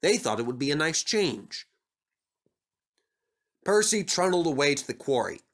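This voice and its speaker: A man's voice: fairly high pitch, big pitch swings, a little slow, a bit bright. A man reading a scripted children's story aloud as the narrator.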